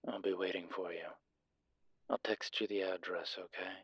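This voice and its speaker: Man playing a deep, husky, suave incubus. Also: fairly even intonation, a bit bright, no room sound, exaggerated voice. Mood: wry, hushed. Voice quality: husky, breathy. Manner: teasing, deliberate, polished. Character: suave, wry male incubus demon investigator. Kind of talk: slow, intimate audio roleplay acting.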